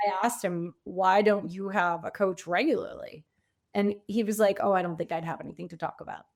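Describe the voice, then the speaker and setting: A woman speaking conversationally as a podcast guest and coach, telling stories in an animated way with bits of quoted dialogue. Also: mid-range voice, a little fast. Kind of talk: conversational interview.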